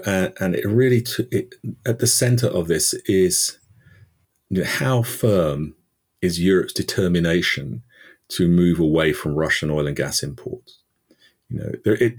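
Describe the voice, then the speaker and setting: Very deep-voiced husky British man. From United Kingdom. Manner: thoughtful, many "you know" fillers and restarts. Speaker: energy expert guest. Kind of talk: podcast interview answer.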